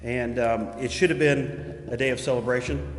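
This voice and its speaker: An American man giving halting, informal public comment at a council meeting. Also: medium pitch, fairly even intonation. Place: indoor council chamber. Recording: echoing council chamber with faint noise.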